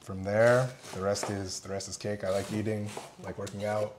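A young man, a firefighter-paramedic, calmly explaining station life while cooking, with polite "yes, ma'am" replies. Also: on the low side, moderate pace. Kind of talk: casual cooking chat. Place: large fire station kitchen, indoors. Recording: clear background noise.

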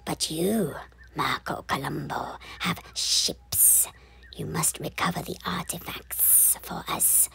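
A breathy whispering lizardman elder. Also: on the high side, slow, sharp tone, pitched above the performer's own voice, heavily acted. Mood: urgent, pleading.

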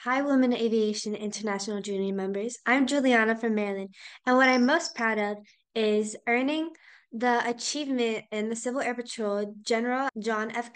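A young teenage girl reading a short scripted segment in a proud, clear way. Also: a little high. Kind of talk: scripted news presenting.

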